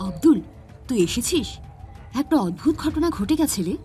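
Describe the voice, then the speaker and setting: Woman playing a loud, flustered village postmaster. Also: sits high, lively intonation, normal speed, soft background music. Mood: urgent. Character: frightened village postmaster man, irritable boss.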